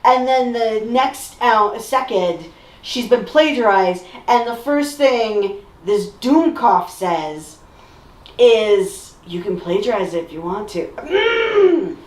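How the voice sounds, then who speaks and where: A woman's voice: fairly high pitch, big pitch swings, takes it slowly. A middle-aged woman chatting casually as co-host of a fan discussion show. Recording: echoey room.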